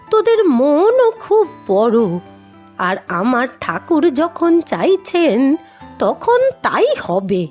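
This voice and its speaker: Slow, high-voiced kindly cartoon granny, acted by a woman. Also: full character voice. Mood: reassuring. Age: older. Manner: gentle, affectionate, drawn-out exclaiming. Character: kindly, devout old grandmother who loves snacks. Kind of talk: cartoon voice acting.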